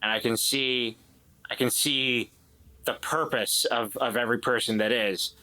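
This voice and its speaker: A man's voice: medium pitch, fairly even intonation, unhurried, sharp tone, low-quality recording. A man playing a defensive, evasive and distrustful man in a tabletop drama, stammering and repeating himself as he explains. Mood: insistent, earnest.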